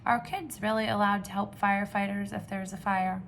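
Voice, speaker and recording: A woman teacher reading aloud to young children, with expressive questions and drawn-out emphasis. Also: medium pitch, creaky, slight background noise. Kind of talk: children's read-aloud lesson.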